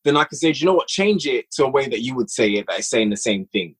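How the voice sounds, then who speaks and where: A man talks in a casual interview about his own creative work, with a slightly husky voice at an average male pitch. He is emphatic and tends to repeat short phrases to drive his point home. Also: lively intonation, quick pace. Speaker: podcast guest, audio drama writer and director.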